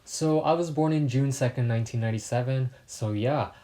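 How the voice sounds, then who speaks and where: A man in his early twenties who grew up in Mexico with Spanish as his first language, chatting casually in a facts vlog with relaxed, self-deprecating asides. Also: medium pitch, fairly quick. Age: 20s. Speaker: YouTuber.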